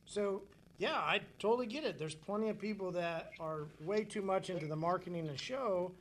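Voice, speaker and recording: A man's voice: sits high, normal speed, slight background noise. A man in his forties hosting a casual chat, keen, asking questions and summing up what his guests say. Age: 40s. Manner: enthusiastic, asks questions and sums up others' points. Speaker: podcast host.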